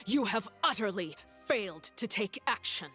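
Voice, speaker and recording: A coolly menacing, mock-courteous young diplomat. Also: female voice, medium pitch, lively intonation, sharp tone. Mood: cold reproach. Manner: deliberate, mock-courteous, pointed questioning. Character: poised young woman diplomat, politely menacing, coolly probing. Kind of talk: dramatic video game voice acting. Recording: faint background music.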